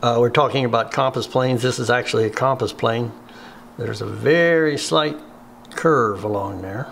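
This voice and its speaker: A man's voice: medium pitch, vocal fry, fairly bright tone, a little hiss or hum. Plainspoken man teaching in a woodshop. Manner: unhurried, plainspoken, many fillers. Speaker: woodworking instructor. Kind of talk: hands-on workshop tutorial.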